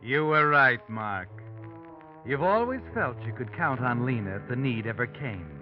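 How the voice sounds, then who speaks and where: Actor as sinister radio noir narrator, animated, muffled. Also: male voice, medium pitch, normal speed, lightly acted. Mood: knowing, ominous. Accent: American. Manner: taunting, addresses the character as you. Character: sinister all-knowing male narrator watching from the shadows. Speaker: narrator of a mystery drama. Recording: muffled old radio, loud music behind.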